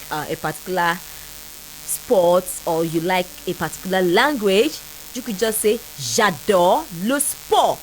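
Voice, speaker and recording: Woman, Nigerian accent, radio French teacher, laughs often, drills phrases slowly, lively pitch. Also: slight background noise, low-quality recording. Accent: Nigerian English. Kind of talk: call-in radio French lesson. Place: radio studio.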